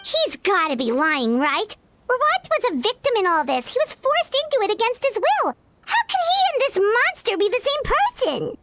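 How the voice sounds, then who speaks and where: A tiny, excitable girlish companion in a video game. The voice is extremely high and squeaky, with lively swings in pitch. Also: moderate pace, heavily acted. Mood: indignant. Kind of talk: video game character dialogue.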